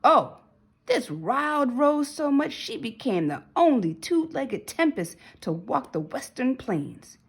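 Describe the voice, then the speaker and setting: A woman's voice: high voice, very animated, slow pace, slightly rough. A woman reading a children's storybook aloud as narrator, in a dramatic, emphatic storytelling manner. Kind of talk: expressive children's read-aloud.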